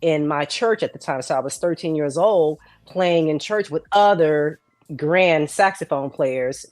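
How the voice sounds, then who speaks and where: A woman's voice: sits low, unhurried, a little mellow, no echo. A woman, chatting casually and playfully as a guest. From Texas, United States. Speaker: guest, saxophonist. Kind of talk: casual chat. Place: indoors, virtual show video call.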